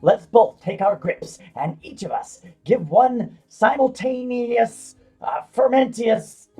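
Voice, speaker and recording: Man playing an earnest drunk, husky, widely swinging voice. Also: extremely high pitch, animated, takes it slowly, mellow, soft background music, full character voice. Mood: fussy, determined. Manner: theatrical, mock-solemn. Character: earnest, fussy male tavern drunk in fantasy comedy. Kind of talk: mock-archaic comic audio drama acting.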